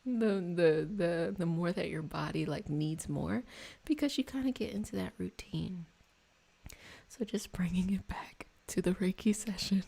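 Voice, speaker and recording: A woman hosting an ASMR reiki healing session, talking in a soft, soothing, meandering and conversational way. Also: lowish voice, creaky. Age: adult over 25. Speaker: reiki practitioner and session host. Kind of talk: ASMR guided healing session with casual chat.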